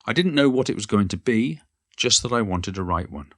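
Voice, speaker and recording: A man's voice: lowish voice, expressive, brisk, no room sound, studio quality, natural voice. A man playing an elderly poet and former schoolteacher, reminiscing in a modest, wry and reflective monologue. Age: older. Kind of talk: reflective first-person monologue, read from a book.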